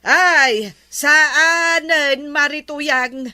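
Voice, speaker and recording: A woman's voice: sits high, fairly even intonation, very slow, sharp tone, no room sound, clean studio sound, full character voice. A woman playing an exasperated village woman in an Ilocano comedy drama, scolding emphatically and refusing to lend her things. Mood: firm refusal.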